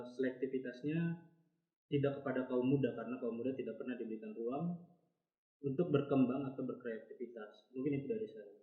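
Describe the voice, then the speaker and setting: Brief: male activist guest, short and direct answers, tinny phone-like sound with room echo. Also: medium pitch. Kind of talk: panel discussion. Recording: thin phone-like sound, echoey.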